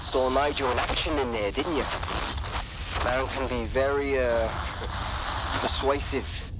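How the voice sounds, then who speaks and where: A wry schemer of a hacker, played by a male actor, talking in an easy, slangy way. It is game cutscene dialogue with clear ambient noise behind it. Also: mid-range voice, fairly even intonation, unhurried, natural voice. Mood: wry, scheming. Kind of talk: video game cutscene acting.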